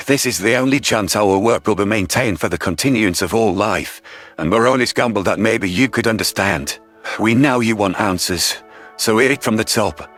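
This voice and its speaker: A man voicing a resigned, solemn alien sentry with a measured manner in an audio story. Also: fairly low pitch, a bit bright, pitched below the performer's own voice. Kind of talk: audio story character dialogue.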